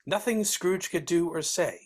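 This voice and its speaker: Casting: female narrator, low register, husky texture, for live scripted story reading. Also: lively intonation, unhurried, darkish tone.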